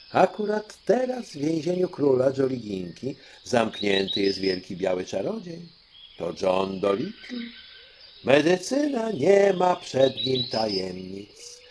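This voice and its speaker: A regal storybook fairy queen, acted by a man, speaks with slow, deliberate poise. The lines swing widely in pitch and stay composed and theatrical, over faint background noise. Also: heavily acted. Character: fairy queen granting royal children's dreams, female, composed. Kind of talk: audiobook storytelling.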